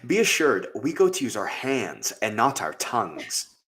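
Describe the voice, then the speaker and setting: A man's voice: medium pitch, wildly swooping pitch, measured pace, a bit bright, a little hiss or hum, heavily acted. A young male actor playing a resolute hired murderer in a Shakespearean radio play. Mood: resolute, menacing. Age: young adult.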